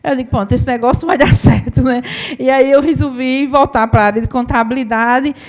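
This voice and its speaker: A woman's voice: sits high, steady tone, brisk, darkish tone. A woman, an accountant and business owner telling her story in a conversational live talk to an audience. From Paraíba, Brazil. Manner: conversational, often asks the audience "né?".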